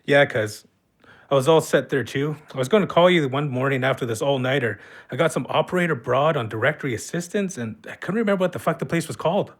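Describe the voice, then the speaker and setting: A man's voice: medium pitch, fast, natural voice. A man acting in an audio play as a brash, pushy, hard-drinking cousin with a Canadian accent who swears and needles. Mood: boisterous.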